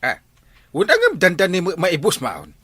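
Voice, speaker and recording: An actor with an Ilocano accent playing an exasperated but good-hearted village captain in a comedy drama. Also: male voice, sits high, wildly swooping pitch, normal speed, a bit bright, breathy edge, no room sound, exaggerated voice. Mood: questioning.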